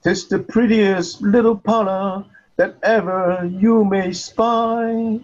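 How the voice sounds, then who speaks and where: A woman's voice: medium pitch, steady tone, takes it slowly, darkish tone. A woman voicing a sly predatory spider in a storybook poem, wheedling and falsely polite, on a dull recording. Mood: sly coaxing. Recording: dull lo-fi audio.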